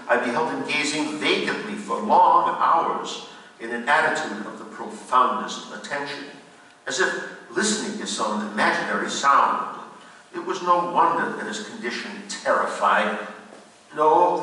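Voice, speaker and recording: Man acting formal gothic gentleman, very echoey. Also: mid-range voice. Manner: formal, measured, dramatic. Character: anxious, earnest gentleman friend narrating a gothic horror tale. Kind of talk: theatrical narration and radio drama acting. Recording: very echoey hall, distant mic, faint noise.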